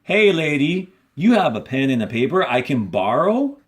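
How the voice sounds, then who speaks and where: A man's voice: on the high side, very animated, moderate pace. A man voicing eager, slangy teenage camp boys in a storybook, in a casual, punchy, friendly way. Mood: urgent, casual.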